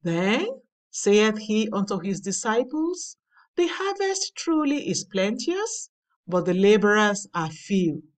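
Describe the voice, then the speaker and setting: A woman reading a daily devotional aloud in a measured, earnest way. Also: medium pitch, big pitch swings, recorded from a distance. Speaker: devotional reader. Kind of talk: slow scripted devotional reading. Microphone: voice-over over slides.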